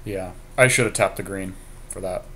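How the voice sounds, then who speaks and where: A man's voice: low voice, normal speed, a bit bright, slight background noise. A man giving casual, slangy game commentary with some swearing, as a podcast host playing along. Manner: slangy, profane.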